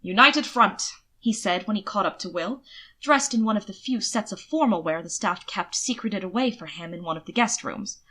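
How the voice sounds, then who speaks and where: A woman reading fiction aloud as an expressive audiobook narrator. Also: medium pitch, a little fast.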